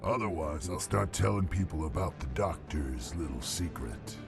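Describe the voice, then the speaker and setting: Gravelly thug, deep and swinging in pitch, music behind. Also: male voice, expressive, moderate pace. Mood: menacing. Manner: threatening, curt. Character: thuggish male blackmailer, menacing. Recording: game audio with music.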